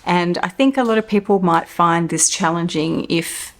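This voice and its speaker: A woman in her fifties, slightly croaky from a cold, chatting enthusiastically to camera about perfumes at home and laughing often. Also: lowish voice, normal speed. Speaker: perfume reviewer. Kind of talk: casual to-camera review. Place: home living room.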